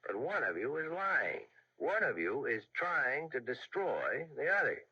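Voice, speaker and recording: Gravelly, sardonic reporter, muffled old radio. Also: male voice, medium pitch, sing-song, a little slow, bright, forceful tone, exaggerated voice. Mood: intent. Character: dogged, sardonic newspaper reporter drawn to a married woman. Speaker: radio drama actor. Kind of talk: old-fashioned radio mystery acting.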